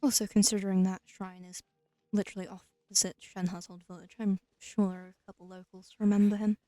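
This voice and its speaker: A woman hosts a casual let's play. Her voice is soft-edged and relaxed, recorded dry, and she drops wry, deadpan-leaning asides as she plays. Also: mid-range voice, a little fast, no room sound. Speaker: gamer, let's play host. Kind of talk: casual game commentary.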